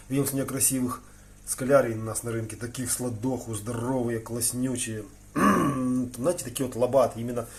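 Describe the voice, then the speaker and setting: A man giving a casual, rambling hobby vlog in colloquial, slangy speech, thinking aloud and often clearing his throat between phrases. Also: mid-range voice, darkish tone. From Ukraine. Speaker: hobby aquarium vlogger. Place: indoors in a room with aquariums. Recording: noticeable background noise.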